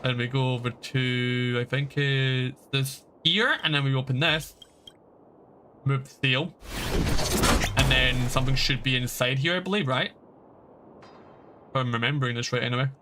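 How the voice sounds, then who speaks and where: A man's voice: mid-range voice, steady tone, normal speed, pauses often, bright, forceful tone, slight background noise. A man doing casual live gameplay commentary as a streamer, rambling and informal, with some swearing. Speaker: game streamer. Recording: stream with game music behind. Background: video game music.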